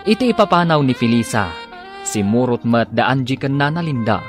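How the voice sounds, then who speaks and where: A man's voice: mid-range voice, lively intonation, a little fast, over music. A man with an Ilocano accent giving dramatic scripted narration as a radio drama announcer.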